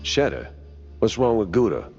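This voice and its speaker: Hardboiled cartoon detective mouse, deep register, sardonic and expressive. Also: male voice, normal speed, gravelly, dull audio, over music. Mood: wry, probing. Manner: dry, sardonic. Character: weary wisecracking hardboiled male mouse private detective. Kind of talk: noir cartoon game acting.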